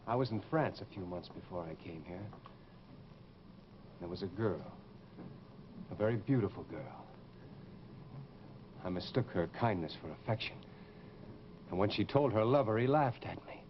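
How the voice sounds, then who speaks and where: A man's voice: fairly low pitch, lively intonation, moderate pace, long pauses, lightly acted. An actor playing a bitter, secretive, menacing man in an old-time radio horror drama, speaking formally and coldly. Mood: brooding, bitter. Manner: cold, formal, insistent. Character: bitter, menacing, secretive former disfigured patient. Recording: muffled, noisy old radio recording.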